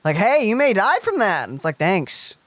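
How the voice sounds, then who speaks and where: A woman's voice: medium pitch, sing-song, normal speed, dry recording. A woman giving casual let's play commentary with dry, joking asides.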